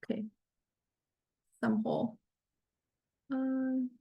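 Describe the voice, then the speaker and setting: A woman gives an explanatory lecture as she demonstrates software. Her voice sits somewhat high. She speaks haltingly, repeating words and searching for the right term as she goes. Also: little pitch movement, creaky, low-quality recording.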